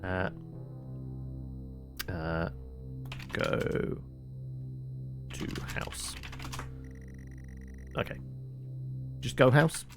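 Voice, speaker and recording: A man playing a text adventure game, reading it aloud dramatically with muttered commands and halting, casual asides. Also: deep voice, big pitch swings, over music.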